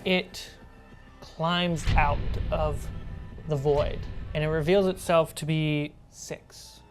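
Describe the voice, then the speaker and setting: Female game master, low register, narrating a tabletop adventure over soft background music. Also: fairly even intonation, takes it slowly.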